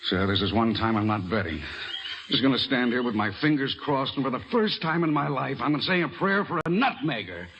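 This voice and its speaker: An actor in an old radio drama playing a respectful, plain-spoken army captain serving under a general. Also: male voice, medium pitch, expressive, brisk, close to an everyday voice. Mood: admiring, uneasy. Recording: muffled, noisy old radio recording.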